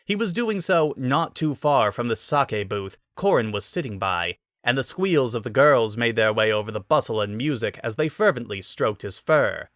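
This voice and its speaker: A man narrating a scripted story as a dramatic reading, in an expressive, wry storytelling manner. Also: medium pitch, moderate pace, few pauses, no echo, clean studio sound.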